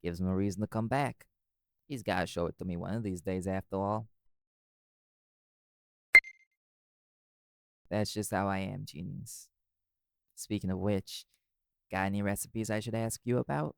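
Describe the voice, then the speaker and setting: A man's voice: deep voice, steady tone, normal speed, gravelly, darkish tone, clean tone, no echo. A man playing a cool, wry, flirty magical private eye in an intimate audio roleplay, teasing dryly and swearing casually. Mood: relaxed, wry.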